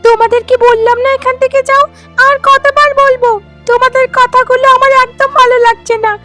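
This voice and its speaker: A woman's voice: very high voice, level tone, fairly quick, pushed and bright, faint music behind. A woman voicing a sickly, stubborn youngest wife in a cartoon melodrama, with an agitated, wailing delivery. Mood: angry, accusing.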